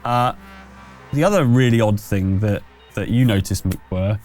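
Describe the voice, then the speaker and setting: A man running a tabletop horror game as game master, improvising descriptions in a halting, conversational way. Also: lowish voice, a little mellow, soft background music.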